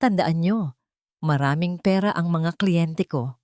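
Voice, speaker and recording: A woman's voice: on the low side, takes it slowly, a little mellow, no room sound, studio quality. A woman voicing a cold, scornful daughter-in-law villainess in an audio drama, threatening and taunting.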